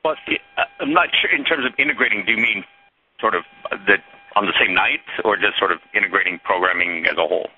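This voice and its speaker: A man with a lowish, husky voice speaks on a dry, narrow phone line. He gives measured, careful executive answers to the press. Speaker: television sports executive. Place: conference call over phone.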